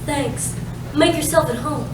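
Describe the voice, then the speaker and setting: A woman's voice: sits high, unhurried. A woman playing a dramatic, ditzy, sweetly apologetic femme fatale client in a comedic staged noir reading. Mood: innocent. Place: live staged reading in a roomy space. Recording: very echoey noisy room, distant mic.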